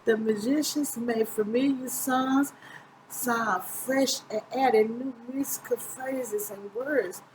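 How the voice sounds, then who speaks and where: A woman's voice: fairly high pitch, fairly even intonation, takes it slowly, background noise. A middle-aged woman reads a children's picture book aloud informally, stumbling now and then and correcting herself. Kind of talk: storybook read-aloud narration. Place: small indoor room, seated close to the camera.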